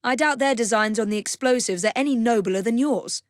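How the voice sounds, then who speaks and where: Actress doing a young english victorian lady assassin for a video game, composed and determined, crisp formal speech, very dry close recording. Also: a little high, fairly quick, no echo, close to an everyday voice. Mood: composed, purposeful. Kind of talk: scripted video game voice lines.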